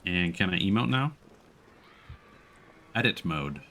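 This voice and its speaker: A man with a lowish voice commentates casually on a live game stream. His intonation swings widely and animatedly as he plays. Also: animated, takes it slowly, sharp tone, slight background noise.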